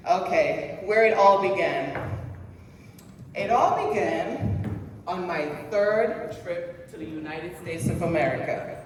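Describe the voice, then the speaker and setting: A middle-aged woman from Jamaica, giving a personal motivational speech in a slow, deliberate way and putting questions to the audience. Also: fairly low pitch, lively intonation, a little hiss or hum. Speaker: presentation speaker. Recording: echoey hall heard from a distance.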